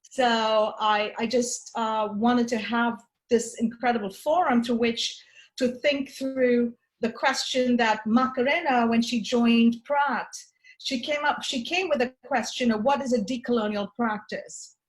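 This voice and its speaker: A woman's voice: fairly high pitch, steady tone, a little slow, low-quality recording. A woman from Tanzania presenting her book at an academic forum, thoughtful and searching, with frequent restarts and fillers. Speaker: author and event host. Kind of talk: academic talk introducing a book.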